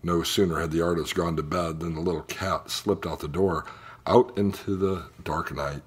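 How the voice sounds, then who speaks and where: A man reading a children's picture book aloud in an expressive storytelling style. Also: sits low, moderate pace, slightly rough. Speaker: storybook reader.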